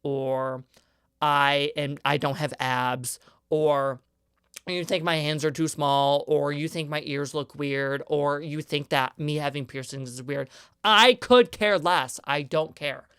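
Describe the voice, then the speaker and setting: A young man in his twenties with a higher-pitched, smooth and clear voice gives a solo podcast monologue. He rants in an earnest, emphatic way. The sound is close and dry, with no room echo. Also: slow pace, fairly bright tone. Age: 20s. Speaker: podcast host.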